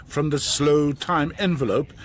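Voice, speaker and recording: An actor playing a weary, pedantic alien on a mission, grave and long-suffering, in a radio comedy. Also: male voice, fairly high pitch, measured pace, slight background noise, natural voice. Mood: exasperated, grave.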